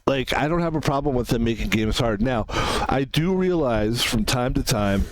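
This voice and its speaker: A man's voice: mid-range voice, expressive, moderate pace, dry recording. A man streaming a video game, chatting casually and rambling, repeating himself and filling with "like" and "you know". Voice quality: describes his own voice as soft. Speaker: gaming streamer. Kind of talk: casual gameplay commentary.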